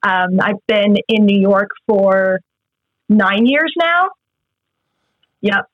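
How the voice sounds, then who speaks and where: A woman's voice: mid-range voice, expressive, drawn-out words, no room sound. A young American woman from Massachusetts chatting casually and gigglingly as an interview guest. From United States, Massachusetts. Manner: giggly, chuckles while talking. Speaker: actress being interviewed as guest. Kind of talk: casual chat. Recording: dull, slightly lo-fi close recording.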